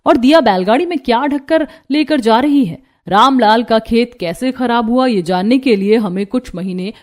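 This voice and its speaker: A woman's voice: a little high, moderate pace. A woman narrating a scripted cartoon story as its storyteller.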